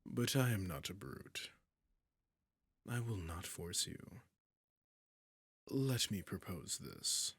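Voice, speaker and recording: A very low-voiced, slow-speaking dragon king, acted by a man, courtly yet menacing. His husky growl slips into breathy whispers, and every formal phrase lands as a possessive command in an intimate fantasy roleplay. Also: no room sound, clean studio sound, full character voice. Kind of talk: scripted fantasy audio roleplay addressed to the listener.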